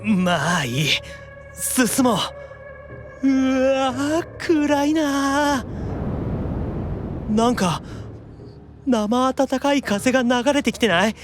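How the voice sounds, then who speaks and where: Squeamish young male anime character, whiny, jumpy, lively swooping intonation, audio drama, faint music behind. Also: very high-pitched, drawn-out words, long pauses, heavily acted. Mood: wary, grumbling. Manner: animated, stammering exclamations when unnerved. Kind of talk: Japanese anime-style audio drama acting.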